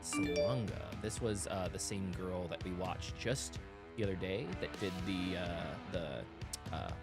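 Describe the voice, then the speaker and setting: A man hosting a music reaction show, talking in a chatty, excitable way with many fillers and restarts. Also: low-pitched, measured pace. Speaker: music producer and show host. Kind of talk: casual reaction chat.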